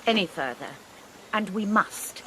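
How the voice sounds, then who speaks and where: A prim, sharp-tongued missionary lady. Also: medium pitch, expressive, unhurried, a little hiss or hum. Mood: determined. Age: 30s. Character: prim, spirited missionary woman in her thirties. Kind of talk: radio drama acting.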